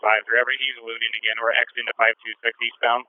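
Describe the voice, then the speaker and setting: A man's voice: mid-range voice, level tone, brisk, no echo, narrow, tinny audio. A man, a state trooper in a patrol car, giving short call-outs over a police radio heard on a scanner.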